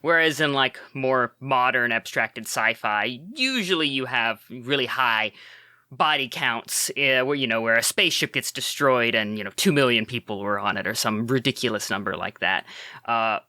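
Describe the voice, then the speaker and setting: A man's voice: mid-range voice, moderate pace, pushed and bright, no echo. A man guest on a podcast, talking casually and hesitantly with many ums and uhs. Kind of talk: casual interview chat. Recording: dry close podcast mic.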